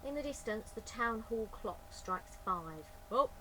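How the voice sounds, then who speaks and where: A woman's voice: on the high side, lively intonation, unhurried, bright, forceful tone, low-quality recording. A woman speaking informally and expressively as a storyteller for children, recorded inside a greenhouse. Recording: clear ambient background noise.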